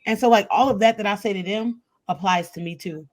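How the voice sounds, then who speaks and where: A woman's voice: medium pitch, a little fast. A woman co-hosting a casual podcast chat, talking openly and expressively and laughing easily. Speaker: podcast co-host.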